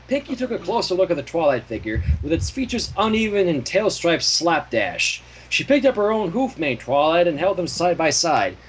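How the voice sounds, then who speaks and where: A man's voice: a little high, big pitch swings, normal speed, hardly any pauses, slight background noise, rough recording. A man reading fan fiction as narrator, with casual asides. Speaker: story reader.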